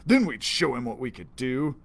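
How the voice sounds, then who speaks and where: A boisterous young pirate boy, read by a man, quick to doubt his friends. He speaks in a middling pitch with lively swings, in storybook fashion. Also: gravelly. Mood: wishful.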